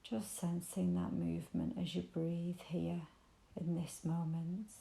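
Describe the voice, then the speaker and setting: Low, gravelly, soothing woman guiding meditation. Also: little pitch movement, echoey room. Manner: gentle, soothing, unhurried pauses, invitational. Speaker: meditation guide. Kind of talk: guided body scan meditation.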